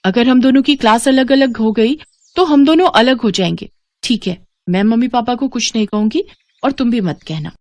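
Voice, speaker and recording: A woman's voice: on the high side, normal speed, a little mellow, dry recording, lightly acted. A woman playing an earnest, devoted young twin sister in a moral story. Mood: worried agreement.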